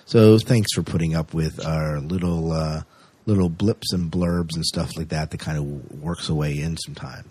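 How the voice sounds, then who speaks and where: A man's voice: rumbling low, steady tone, moderate pace, a little mellow, breathy edge, dry recording. A man co-hosting a podcast in a casual, chatty, jokey way with rambling asides. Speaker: podcast co-host.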